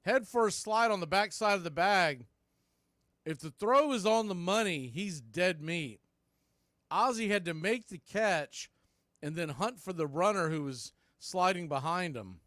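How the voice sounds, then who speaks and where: A man's voice: sits high, lively intonation, a little slow, a bit bright, dry recording, clean studio sound. A man doing live baseball play-by-play in clipped phrases with conversational asides. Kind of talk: live sports commentary.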